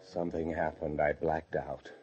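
An actor playing a paranoid fugitive husband, narrating an old-time radio thriller with intense dread. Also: male voice, rumbling low, level tone, moderate pace, slightly rough. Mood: grim. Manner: intense, breathless dread, swinging from brooding to frantic shouts. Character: desperate, paranoid fugitive husband confined in a locked room. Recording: dull old radio recording with music.

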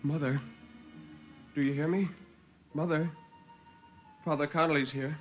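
A man voicing a gentle, worried grown daughter speaking softly and coaxingly at a deathbed in a story reading. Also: fairly high pitch, fairly even intonation, a little slow, muffled recording, soft background music, voice pushed up, lightly acted. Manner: soft, anxious, coaxing.

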